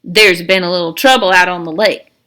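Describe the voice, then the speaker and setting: A woman's voice: fairly low pitch, fairly even intonation, a little fast, pushed and bright. A woman reading aloud the part of a suspicious male camp supervisor in a storybook, blunt and emphatic. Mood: accusing.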